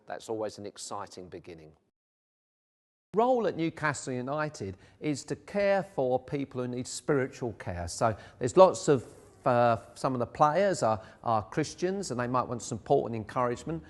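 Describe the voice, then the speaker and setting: A middle-aged man with a South London accent, a vicar talking about his life in a documentary interview, chatty and rambling with many fillers. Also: mid-range voice. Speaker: vicar and football club chaplain. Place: church. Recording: long hall reverb.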